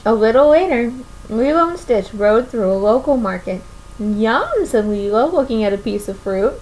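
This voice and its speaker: A woman's voice: on the high side, lively intonation, moderate pace, darkish tone, a little hiss or hum, low-quality recording. A young woman reading a picture book aloud to children expressively, often putting questions to her listeners. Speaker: children's story reader. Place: small room at home, indoors.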